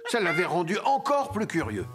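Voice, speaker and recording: A man narrating a children's cartoon as a wry, playful storyteller with expressive delivery. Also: sits high, moderate pace, over music.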